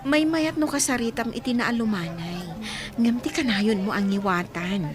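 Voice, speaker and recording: Actress as a high, animated, caring friend. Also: female voice, moderate pace, crowd noise, lightly acted. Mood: concerned. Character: concerned, advice-giving woman friend. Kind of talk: Ilocano radio drama acting.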